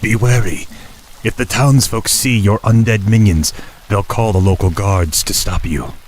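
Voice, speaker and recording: An actor playing a deferential cultist acolyte in a video game, speaking formally with reverent caution. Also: male voice, lowish voice, measured pace, a little hiss or hum, natural voice. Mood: wary. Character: deferential male cultist acolyte of dark master. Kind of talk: dramatic video game cutscene acting.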